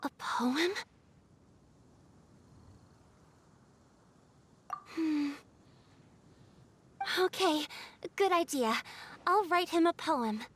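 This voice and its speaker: Wistful water spirit, high feminine voice, soft and earnest, hesitant, dramatic game dialogue. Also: female voice, lively intonation, slow pace, full character voice. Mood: grateful, anxious. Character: gentle, wistful female water spirit torn by longing.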